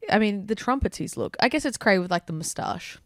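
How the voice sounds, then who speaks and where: A woman's voice: mid-range voice, expressive, brisk, no room sound, clean studio sound. Sweary young Australian woman, casual chat. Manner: sweary, filler-heavy teasing. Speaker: podcast co-host. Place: abroad, travelling in Italy.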